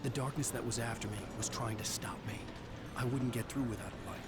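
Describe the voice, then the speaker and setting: A man's voice: medium pitch, brisk, loud music behind the voice, natural voice. A man voicing a brooding, haunted writer who narrates his ordeal in a video game thriller. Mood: brooding, tense. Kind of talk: scripted first-person thriller narration.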